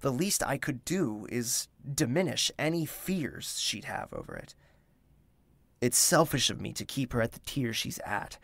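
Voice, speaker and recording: An actor playing a gentle, reserved young prince in an audio drama, courteous and measured, with formal wording and a self-doubting streak. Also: male voice, medium pitch, creaky, full character voice. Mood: quietly astonished, reflective. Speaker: character actor and first-person narrator. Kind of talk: scripted audio drama with inner monologue.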